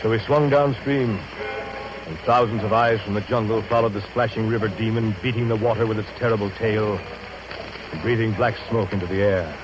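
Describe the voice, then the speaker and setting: An actor playing a grave, reflective riverboat captain, narrating in solemn, literary phrases for a 1930s radio drama. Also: male voice, on the low side, steady tone, brisk, dull audio, noisy background, natural voice. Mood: solemn, brooding. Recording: old radio broadcast recording.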